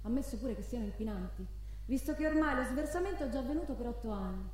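A woman's voice: fairly high pitch, animated, fast, lightly acted. A woman acting a defiant townswoman who declaims with rhetorical force in a theatrical radio play, with music behind her. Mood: ironic, declamatory. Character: defiant townswoman proclaiming and reading statements. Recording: very echoey stage with loud music. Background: live music.

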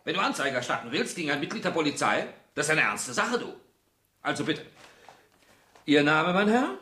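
In a roomy, echoing space, a dutiful male policeman talks with lively swings of pitch. He is impatient and matter-of-fact, in the style of a radio crime play. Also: brisk. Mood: exasperated. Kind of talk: German radio crime drama acting.

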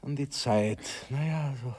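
An actor playing a smooth-talking, prying Viennese seducer past thirty in a radio play, speaking hesitantly and insinuatingly. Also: male voice, mid-range voice, unhurried, warm tone, close to an everyday voice. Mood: tender, wistful. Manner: hesitant, insinuating, repeating words. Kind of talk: German-language radio play acting.

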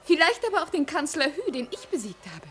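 An actress playing a spoiled, imperious young princess in a 1950s radio play, formal and dramatic with breathy pleading. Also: female voice, sits high, very animated, brisk, a bit bright. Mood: coy, excited. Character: haughty, spoiled young Chinese princess, imperious yet yearning.

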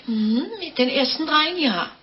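An actress in a 1950s German radio drama playing a French innkeeper's wife who confesses murders in a calm, guileless, chatty way. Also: female voice, high-pitched, unhurried. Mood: breezy, self-justifying. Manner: matter-of-fact, guileless, chatty. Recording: dull, noisy old radio recording.

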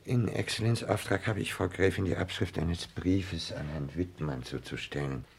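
An actor playing an obsequious, calculating private secretary in a radio play, with formal, deliberate diction and a gravelly voice. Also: male voice, low-pitched, full character voice. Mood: deferential, formal. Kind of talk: 1960s German radio play acting. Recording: noisy old 1960s radio recording.

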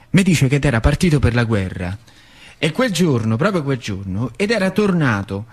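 A man with a central Italian accent performing a colloquial theatrical monologue, repeating phrases for dramatic effect. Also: mid-range voice. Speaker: stage actor and storyteller. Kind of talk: theatrical monologue, first-person storytelling.

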